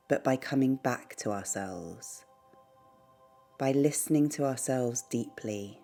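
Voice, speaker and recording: A woman leading a guided meditation in a soothing, gentle, unhurried way, pausing between short phrases. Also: extremely low pitch. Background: background music.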